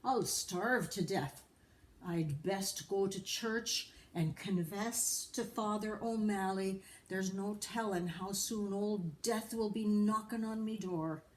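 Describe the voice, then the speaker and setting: Woman doing a lazy Irishman, storybook character, whiny, self-pitying, dramatic, slow delivery. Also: mid-range voice, slight background noise, close to an everyday voice, low-quality recording. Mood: wailing self-pity. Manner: whining, dramatic.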